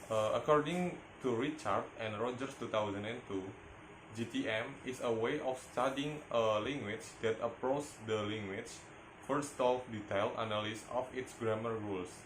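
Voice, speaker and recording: A young Indonesian man with an Indonesian accent gives an instructional presentation as a student teacher, halting and often repeating words. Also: medium pitch. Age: young adult. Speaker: English education student presenting a teaching video. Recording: echoey room, muffled, faint noise.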